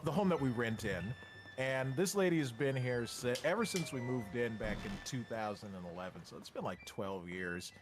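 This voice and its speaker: A man in casual stream chat, calm and sympathetic, who tells his own stories in a measured way. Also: on the low side. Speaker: stream co-host. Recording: faint music behind.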